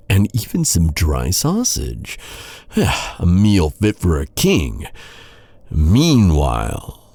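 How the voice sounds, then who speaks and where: An actor playing a bitter, sardonic knight who taunts a royal captive with mock courtesy in a dark fantasy audio roleplay. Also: male voice, sits low, vocal fry, dry recording, heavily acted. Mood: sarcastic, needling. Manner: sardonic, mock-courteous, brooding, with sighs and pauses.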